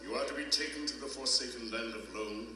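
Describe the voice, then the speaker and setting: A man's voice: medium pitch, brisk, sharp tone, distant mic. An actor playing a majestic, stern king who passes judgment in solemn, declamatory, formal speech in an audio drama. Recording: echoey hall reverb, music behind.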